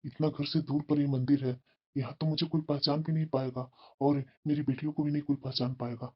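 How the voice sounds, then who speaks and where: A woman's voice: deep voice, fairly even intonation, fairly quick. A woman voicing an irritable, scheming father in a family moral cartoon, grumbling and evasive. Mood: furtive, scheming. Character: scheming, irritable father who resents his daughters. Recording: dull, lo-fi cartoon voice track.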